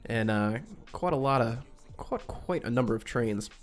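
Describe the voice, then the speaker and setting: A young man from Western New York talks casually to his viewers, his mid-range voice a little raspy and congested from a lingering cold. He is chatty and relaxed, reading out the chat with friendly asides. Faint music plays behind him. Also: medium pitch, moderate pace. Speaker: live stream host. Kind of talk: casual live stream chat.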